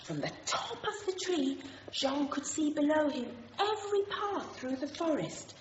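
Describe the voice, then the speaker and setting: An actress playing a gentle infant teacher who reads a storybook aloud to small children, expressively, in a radio drama. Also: female voice, high voice, lively intonation, unhurried. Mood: wondering, storytelling. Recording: roomy echo, dull, noisy.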